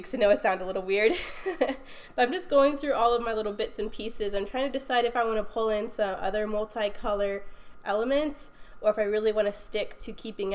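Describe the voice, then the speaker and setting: A young woman with a stuffy cold, chatting casually through a craft video voiceover with frequent ums and little laughs. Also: medium pitch. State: congested from a cold. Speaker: scrapbooking video host. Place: recorded voiceover at home.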